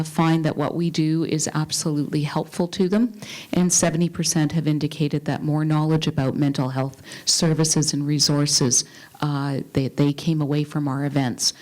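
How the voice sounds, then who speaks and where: A woman's voice: deep voice, fairly even intonation, measured pace, darkish tone, rough recording. A woman, a community group co-founder, methodically reporting results on a panel. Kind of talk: panel discussion. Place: university event hall.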